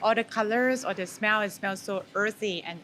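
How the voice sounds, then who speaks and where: A woman's voice: medium pitch, moderate pace, a bit bright. A woman from Thailand with a Thai accent, chatting casually and candidly as an interview guest. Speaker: interview guest, food maker. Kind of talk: casual chat. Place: indoor farm among herbs and flowers. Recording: clear background noise.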